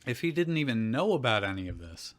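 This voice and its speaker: A man's voice: mid-range voice, sing-song, fast talker. A man giving casual, joking commentary as co-reader of a fan fiction.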